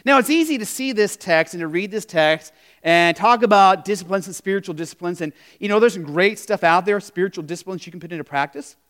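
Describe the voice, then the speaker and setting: High-voiced man preaching conversationally. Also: quick pace, fairly bright tone. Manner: conversational preaching with frequent "you know" and restarts; rhetorical questions to the congregation. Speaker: pastor. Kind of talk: sermon.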